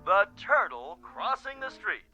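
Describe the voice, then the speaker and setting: An actor playing a manic television emcee and spooky horror host in a radio drama, theatrical and hammy with stretched-out words. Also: male voice, high voice, big pitch swings, unhurried, over music. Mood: gleeful showmanship. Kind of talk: old-time TV presenter parody in radio drama.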